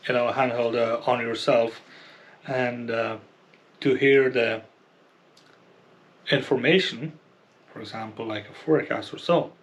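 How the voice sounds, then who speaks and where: Low-key man rambling over background noise. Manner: hesitant, rambling, repeats himself. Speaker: product reviewer and kayak angler. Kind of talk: casual unscripted product review. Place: indoors at home near a computer.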